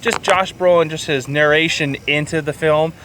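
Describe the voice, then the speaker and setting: Sweary man, slightly high voice. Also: steady tone, moderate pace, a bit bright, a little hiss or hum. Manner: opinionated, sweary, rambling. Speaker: podcast co-host reviewing films. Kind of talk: casual movie review chat.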